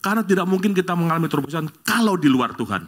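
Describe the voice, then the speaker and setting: A middle-aged man from Bali leads a church prayer, then preaches a sermon to the congregation. His talk is lively, rising to loud exclamations and jokes with the congregation. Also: sits high, very fast. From Bali, Indonesia. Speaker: guest preacher. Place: church service.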